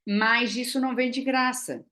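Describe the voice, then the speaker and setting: A woman's voice: fairly high pitch, fairly even intonation, moderate pace, pushed and bright. A woman, chatting casually in a vlog and explaining things with frequent fillers and tag questions. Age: 60s. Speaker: vlogger. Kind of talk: casual chat.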